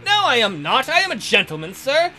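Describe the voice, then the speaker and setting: Goofy lively man reading a text game. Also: very high-pitched, a little fast. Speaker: let's play host.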